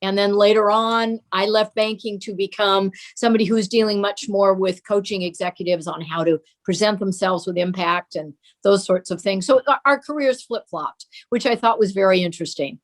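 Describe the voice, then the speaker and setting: An older woman with an American accent reminiscing as an interview guest, reflective, pausing and repeating words for emphasis. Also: mid-range voice. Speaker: interview guest, daughter of a radio and TV performer.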